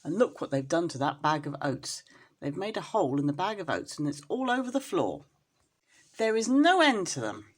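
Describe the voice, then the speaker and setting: A woman's voice: sits low, very animated, normal speed. A woman storyteller reading a children's storybook expressively, as if to a young listener.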